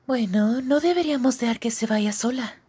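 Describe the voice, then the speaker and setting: A woman voicing a sweet, anxious, fiercely protective young mother with a Latin American Spanish accent, expressive, in an anime fan dub. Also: a little high, moderate pace, husky, no room sound. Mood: worried.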